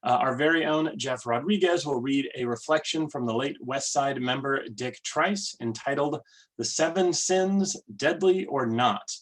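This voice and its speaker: Guy leading a church service, medium pitch, deliberate and measured delivery. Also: poor audio. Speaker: worship leader. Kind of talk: church service welcome and readings.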